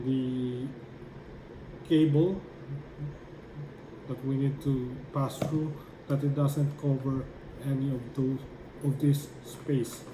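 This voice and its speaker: A man giving a hands-on how-to demonstration, explaining step by step in a halting way as he works. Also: mid-range voice, flat delivery. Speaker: tutorial presenter. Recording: echoey room with clear background noise.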